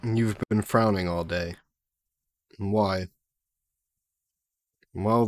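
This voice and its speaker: A man's voice: fairly low pitch, no echo. A man playing a sassy, possessive, centuries-old demon in an audio roleplay, speaking haughtily and sardonically in formal wording. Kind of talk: scripted audio roleplay addressed to the listener.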